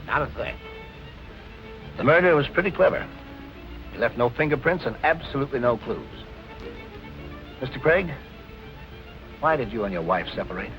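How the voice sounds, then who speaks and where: An actor playing a calm police captain in 1940s film noir style, questioning in a measured, politely insistent way. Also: male voice, medium pitch, faint music behind, low-quality recording. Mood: casual, probing.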